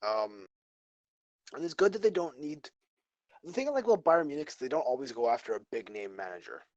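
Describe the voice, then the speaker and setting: A man's voice: medium pitch, very animated, brisk, no room sound, highs cut off. A man co-hosting a casual football podcast, giving opinions in a rambling way with frequent "I mean". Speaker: co-host.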